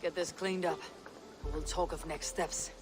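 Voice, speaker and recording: An actress playing a viking clan leader who speaks in a measured, authoritative way. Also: female voice, low-pitched, fairly even intonation, lightly acted. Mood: commanding. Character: female viking clan leader, calm and commanding. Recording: game cutscene over soft music.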